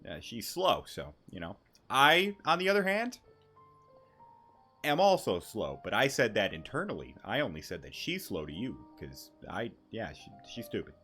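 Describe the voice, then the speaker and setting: A man's voice: fairly low pitch, wildly swooping pitch, normal speed, sharp tone, breathy edge, soft background music. A man doing casual let's-play commentary on a video game, joking, sarcastic and sweary. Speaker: gaming streamer.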